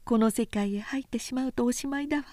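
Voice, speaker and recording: Woman acting a soft, knowing pleasure-house woman. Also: a little high, quick pace, no room sound, voice pushed up, lightly acted. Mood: wistful.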